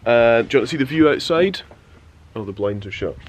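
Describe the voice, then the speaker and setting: A man's voice: animated, fairly quick. A man in his twenties, a radio presenter, chatting casually to camera with wry, understated asides. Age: 20s. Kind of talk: casual vlog chat to camera. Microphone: handheld vlog camera. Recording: noisy handheld camera audio, background rumble.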